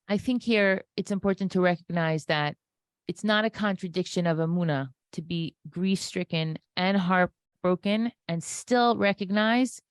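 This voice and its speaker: A woman's voice: on the low side, smooth, no room sound, clean studio sound. A woman speaking off the cuff in an earnest, emphatic religious inspirational talk, repeating phrases for emphasis. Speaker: interview guest, inspirational speaker.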